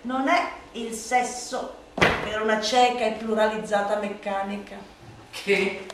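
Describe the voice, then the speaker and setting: Slow theatrical woman in an echoing space. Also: mid-range voice, fairly even intonation, background noise. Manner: declamatory, emphatic, with dramatic swings. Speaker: stage and radio actress performing a literary monologue. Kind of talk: theatrical dramatic recitation of a satirical literary pamphlet. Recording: echoey room, distant mic, loud percussion music. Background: timpani and percussion music.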